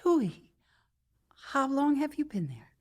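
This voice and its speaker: An actress playing a wry, compassionate single New York woman who cares for the sick in a radio drama, speaking softly and with measure. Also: sits high, big pitch swings, takes it slowly, full character voice. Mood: surprised. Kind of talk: comedic radio drama acting.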